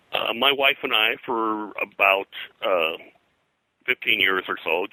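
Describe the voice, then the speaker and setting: An older man, a pop culture historian being interviewed, reminiscing in a halting, digressive way full of detail. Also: deep voice, bright, forceful tone, no echo, low-quality recording. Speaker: pop culture historian and comics colorist, guest.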